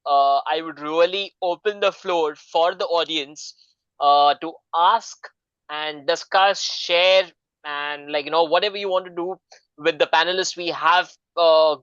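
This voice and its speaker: A man moderates an online seminar, polite and a little hesitant, with frequent fillers. His voice comes through smooth and clear. The call audio is dry and somewhat dull. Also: fairly high pitch, fairly even intonation, a little slow, a bit bright, dry recording. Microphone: video call.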